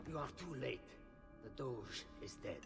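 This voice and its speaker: Smug villain, swooping pitch. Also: male voice, animated, unhurried, gravelly, over music. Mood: smug, cold. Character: smug, treacherous male Venetian conspirator, coldly mocking. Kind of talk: video game cutscene acting.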